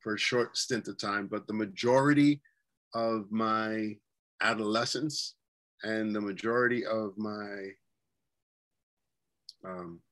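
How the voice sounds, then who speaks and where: A man in his forties with a New York accent and a fairly low voice talks over a webcam from a bedroom at home. He rambles through his memories, with plenty of fillers and restarts. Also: takes it slowly, long pauses, a bit bright. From New York City. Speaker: guest. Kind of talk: casual interview chat. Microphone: webcam on a video call.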